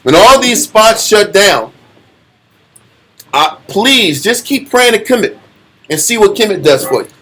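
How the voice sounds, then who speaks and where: A man's voice: high voice, big pitch swings, unhurried, pauses often, fairly bright tone. A man with an American accent teaching religion informally, colloquial and taunting, firing off rhetorical questions. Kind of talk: informal sermon discussion.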